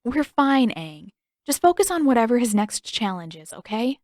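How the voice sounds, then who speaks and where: A woman's voice: fairly high pitch, sing-song, normal speed, a little mellow, no room sound, studio quality, voice pushed up. A woman voicing a firm, reassuring teenage girl in a fan fiction audiobook reading.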